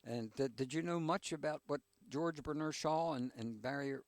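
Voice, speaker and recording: A man's voice: a little high, moderate pace, dry recording. An older man hosting a radio interview, mostly giving short encouraging prompts and questions. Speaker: radio host.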